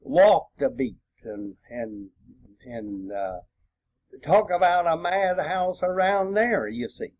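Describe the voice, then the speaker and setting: Very old American man, country accent, unhurried folksy storyteller, fairly high-pitched voice, on a dull home recording. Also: expressive. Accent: rural American. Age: 80s or older. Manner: folksy, rambling storytelling with frequent throat-clearing pauses. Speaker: war veteran telling his memories. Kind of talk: informal oral-history reminiscence.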